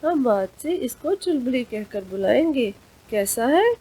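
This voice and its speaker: A woman's voice: fairly high pitch, lively intonation, a little slow, warm tone, husky, exaggerated voice. A woman voicing a kindly, reassuring father rooster in a cartoon storybook tale. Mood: gentle, kindly.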